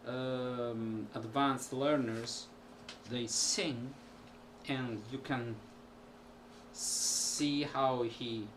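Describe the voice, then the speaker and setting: A man, a musician, talking casually to camera at home in a halting way with many pauses. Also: medium pitch. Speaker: musician vlogger. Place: small room at home. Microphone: built-in camera mic. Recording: distant home camera audio with background noise.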